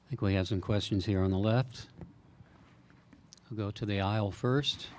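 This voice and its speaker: Low-voiced male moderator. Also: normal speed, darkish tone, slight background noise. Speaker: press conference moderator. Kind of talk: formal, polite moderation.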